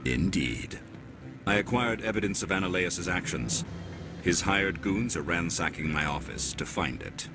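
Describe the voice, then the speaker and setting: A man playing an old, courteous and wry alien office manager in a video game, with measured, formal speech. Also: deep voice, animated. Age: older. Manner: measured, formal, sly politeness. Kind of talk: video game dialogue acting. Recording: music behind the voice.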